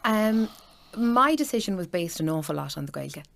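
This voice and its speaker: Irish woman in her thirties, parent, enthusiastic casual chat, gravelly, low-pitched. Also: sing-song, normal speed, fairly bright tone. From Ireland.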